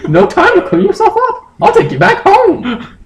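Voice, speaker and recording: A man voicing a gushing, bossy female monster bird in a comic dramatic reading, in exaggerated falsetto. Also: big pitch swings, moderate pace, vocal fry, roomy sound, heavily acted. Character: breathy, demanding, gushingly grateful female monster bird lover. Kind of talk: mock dramatic reading of fan fiction.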